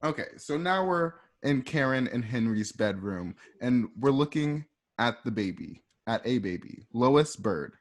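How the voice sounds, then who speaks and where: A man's voice: mid-range voice, expressive, measured pace, few pauses. A man narrating a radio drama, reading out scene directions step by step in the present tense.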